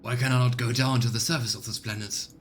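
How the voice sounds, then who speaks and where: A man's voice: mid-range voice, fairly even intonation, fast talker, natural voice. A man voicing a haughty lord captain in a video game, imperious and indignant.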